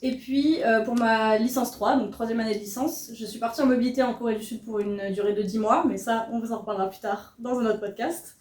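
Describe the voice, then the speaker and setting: A woman's voice: fairly high pitch, steady tone, brisk, few pauses, darkish tone. A woman in her early twenties from southern France, a master's student, chatting about her studies and laughing often. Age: 20s. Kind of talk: casual podcast chat. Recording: echoey room, mic far off.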